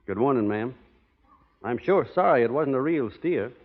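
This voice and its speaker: A man plays a gallant, teasing cowboy with a folksy American drawl and a mid-pitched, expressive voice. It has the sound of a vintage radio western. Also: moderate pace, lightly acted. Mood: easygoing. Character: laconic, teasing young cowboy hero, gallant. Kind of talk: old-time radio western acting. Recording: dull vintage radio broadcast.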